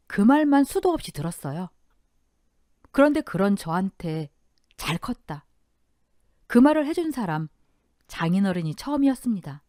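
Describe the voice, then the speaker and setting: A woman narrating a first-person life story for a radio drama, with emotional, lively storytelling. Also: medium pitch, dry recording, clean studio sound.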